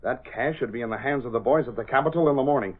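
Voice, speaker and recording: Fast-talking husky crooked boss, played by a man. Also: mid-range voice, lightly acted. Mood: businesslike, impatient. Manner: curt, commanding, impatient. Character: scheming, irritable crooked lobbyist boss. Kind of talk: 1930s-40s radio drama acting. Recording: dull old-time radio recording.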